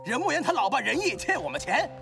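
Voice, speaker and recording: A woman acting a brash, grasping debt collector in a TV drama, pushy and loud. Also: fairly high pitch, very animated, quick pace, vocal fry, in a large hall, faint music behind, exaggerated voice.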